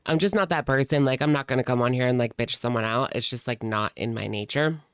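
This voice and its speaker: A woman with a gravelly, fry-heavy voice talks through casual reaction commentary. She is sassy and slangy, and the sound is dry and close, with hardly any room on it. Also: a bass voice, normal speed, dry recording. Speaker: reaction channel host.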